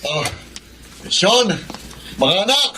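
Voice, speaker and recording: A man's voice: sits high, big pitch swings, very slow, noisy background, full character voice. A man acting a tender, sincere middle-aged father and suitor in a Filipino radio drama. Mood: joyful. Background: music.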